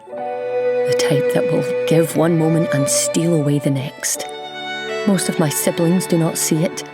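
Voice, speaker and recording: A woman playing a bitter, defiant immortal goddess held captive, in measured, brooding audio drama acting. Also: low-pitched, steady tone, loud music behind the voice.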